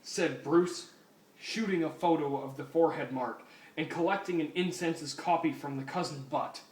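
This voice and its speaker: A man's voice: on the high side, moderate pace, a little mellow. A man giving a mock-serious, comedic dramatic reading of a story as its narrator. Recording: echoey room, distant mic, faint hiss.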